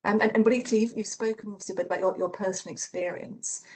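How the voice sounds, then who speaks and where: A woman moderating a panel discussion, asking questions in a measured way with small restarts. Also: mid-range voice, steady tone, darkish tone, low-quality recording.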